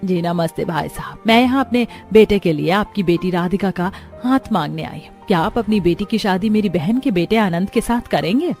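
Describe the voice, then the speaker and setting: A shrewd aunt arranging a match in a moral story, voiced by a woman. She speaks persuasively at a middle pitch, composed, with light music underneath. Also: expressive, normal speed, few pauses, a little mellow, close to an everyday voice. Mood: polite.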